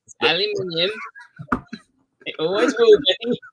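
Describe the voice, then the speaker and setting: A man's voice: high voice, measured pace, background noise. A man from southeast England with an English accent, a podcast guest chatting casually in a rambling, self-deprecating way that keeps restarting sentences. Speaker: podcast guest, metal musician.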